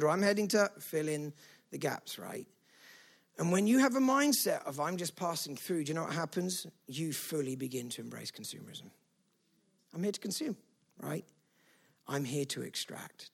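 A man's voice: on the high side, creaky, husky. A man preaching a sermon to a church, emphatic and conversational, often adding "right?". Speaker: pastor.

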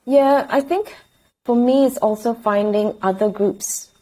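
A woman's voice: on the high side, measured pace, dark tone. A woman from Singapore with a Singaporean accent, answering interview questions in a reflective, tentative conversational way. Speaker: interview guest.

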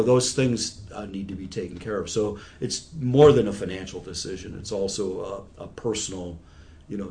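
A man's voice: sits low, darkish tone, slight background noise. A man in his sixties giving patient, conversational explanations with everyday examples, as an expert guest in an interview. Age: 60s.

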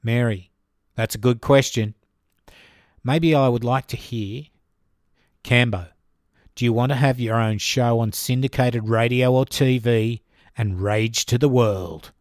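A man's voice: medium pitch, fairly even intonation, unhurried, long pauses, no echo, clean studio sound. A man with an Australian accent hosting his own podcast, answering listener questions in a chatty, informal way. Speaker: podcast host.

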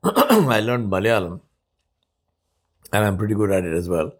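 An older man in his seventies from India, his first language Urdu, speaks in a fairly low voice. He talks through his solo podcast in an unhurried, reflective way. He often pauses on "uh" and restarts his sentences. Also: very animated, slightly rough. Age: 70s. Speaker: podcast host.

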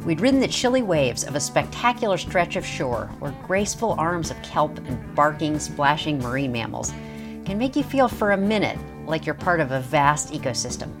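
A woman hosting an interview show, reading a scripted introduction and then asking questions in an earnest way, laughing often. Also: sits low. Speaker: podcast host. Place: outdoors at a beach.

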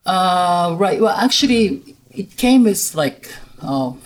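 A middle-aged woman from Japan speaking English, talking as a guest in a casual interview, soft-spoken and hesitant, with many fillers. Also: fairly low pitch, lively intonation, slow pace. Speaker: guest, winery owner.

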